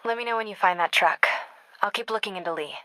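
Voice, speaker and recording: An actress with an American accent playing a caring, determined young reporter in a video game, speaking in an earnest, conversational, confiding way. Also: female voice, medium pitch, fast talker, sharp tone, dry recording, lightly acted, poor audio. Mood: focused. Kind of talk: naturalistic video game acting.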